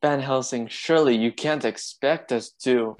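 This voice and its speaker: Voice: mid-range voice, normal speed, natural voice. An actor playing a stern, indignant male doctor who refuses to believe, in a radio play. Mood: incredulous, scornful. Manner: stern, incredulous. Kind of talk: stage-style radio play acting.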